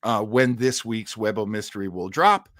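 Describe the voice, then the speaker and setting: A man's voice: on the low side, moderate pace, a bit bright, no echo, studio quality. A middle-aged man hosting a live stream, chatting casually and reading viewer comments in a rambling, enthusiastic way with frequent hesitations. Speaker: live-stream host of an old-time radio show.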